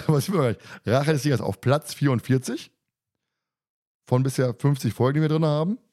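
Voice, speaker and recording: A man's voice: medium pitch, moderate pace, darkish tone. A man hosting a podcast, chatting informally and colloquially with his co-hosts. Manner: informal, colloquial, mildly crude. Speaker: podcast host and moderator. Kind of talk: casual podcast chat among co-hosts.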